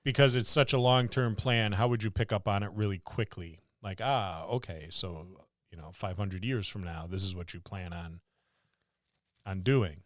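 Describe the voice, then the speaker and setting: A man's voice: fairly low pitch, normal speed, dry recording, clean studio sound. A man co-hosting a podcast, talking casually about running tabletop games. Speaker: podcast co-host. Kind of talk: casual tabletop gaming discussion.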